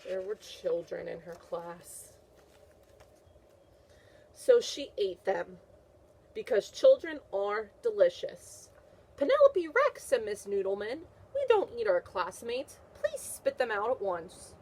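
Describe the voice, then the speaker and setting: A woman's voice: mid-range voice, very animated, slow pace, a little mellow, slight background noise. A woman teacher reading a picture book aloud to young children, repeating questions and answers in an encouraging way. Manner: encouraging, praising the listener.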